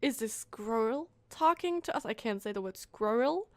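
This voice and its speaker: Animated, high-voiced woman streaming a game. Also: moderate pace, dry recording. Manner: animated, incredulous, asks many questions. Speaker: streamer reacting to a video game. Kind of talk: casual live game commentary.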